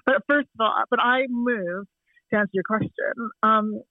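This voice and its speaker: A woman's voice: a little high, moderate pace, dry recording. Canadian woman in her forties, chatty, laughs a lot, dry tinny phone-line sound. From Canada, Toronto. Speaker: guest. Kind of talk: casual chat over a call.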